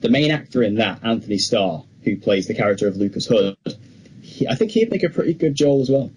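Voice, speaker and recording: A man's voice: fairly low pitch, lively intonation, a little fast, darkish tone, breathy edge, rough recording. A young man co-hosting a podcast, chatting casually and enthusiastically with plenty of opinions. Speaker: podcast co-host. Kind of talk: casual film chat.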